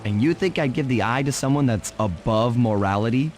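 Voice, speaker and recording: An actor playing a cocky, smooth young master thief in a video game, wry, self-assured and easygoing. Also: male voice, mid-range voice, a little fast, lightly acted. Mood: defiant. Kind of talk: video game acting and storybook-like narration. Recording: very loud music behind.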